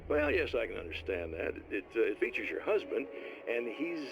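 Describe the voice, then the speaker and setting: An awkward, hesitant talk show host. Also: male voice, medium pitch, huge pitch swings, normal speed, creaky, lo-fi sound, over music. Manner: hesitant, awkward, full of filler words. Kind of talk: interview on a broadcast.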